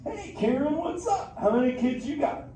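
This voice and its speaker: A man's voice: very high voice, animated, normal speed, warm tone. A man voicing a laid-back male surfer sea turtle who drawls slang and teases the audience in a live show. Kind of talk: live interactive theater show. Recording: echoey theater from far off, noisy.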